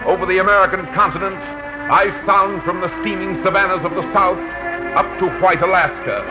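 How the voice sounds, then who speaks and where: Man as grand, high-pitched voice of Radio itself. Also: fairly even intonation, a little fast, close to an everyday voice. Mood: grand, proclaiming. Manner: declamatory, solemn, poetic. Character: Radio itself, a grand oracular male personified voice. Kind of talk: 1940s radio pageant oratory. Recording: dull 1940 radio broadcast, loud music.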